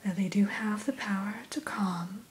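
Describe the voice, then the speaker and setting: A woman whispering a gentle, courteous ASMR roleplay as the keeper of a fantasy creature shop, in a breathy voice. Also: medium pitch. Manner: slow, gentle, courteous shopkeeper patter. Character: gentle, courteous female keeper of a dragon hatchery. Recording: steady hiss behind close whisper.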